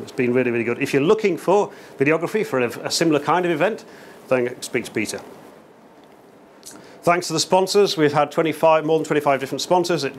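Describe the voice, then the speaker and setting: A middle-aged man speaks to a small meetup crowd in an upbeat, conversational way, often restarting his sentences with "uh" and "um". His voice sits in a middle register. A faint hum of the room runs underneath. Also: medium pitch. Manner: chatty, upbeat, many fillers and restarts. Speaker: meetup organizer giving a lightning talk. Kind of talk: casual conference talk. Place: small event venue indoors, in front of an audience.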